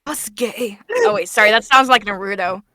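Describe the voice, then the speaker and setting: Woman, bright and clear, playful casual panel chat, close dry sound. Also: medium pitch, moderate pace, dry recording. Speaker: voice actor on a fan dub Q&A panel.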